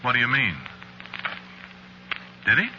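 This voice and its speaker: An actor playing a shifty working-class American store clerk and cool killer, narrating in a folksy, slangy, confiding way in 1940s radio suspense style. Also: male voice, mid-range voice, sing-song, unhurried. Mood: feigned concern, scornful. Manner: folksy, slangy, offhand storytelling. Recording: dull, noisy vintage radio recording.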